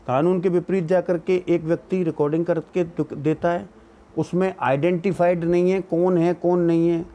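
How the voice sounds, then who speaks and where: Man, fairly high pitch, soft mellow tone, explanatory commentary, argumentative, rhetorical questions. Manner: argumentative, rhetorical questioning, with English legal terms mixed in. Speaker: legal educator giving opinion.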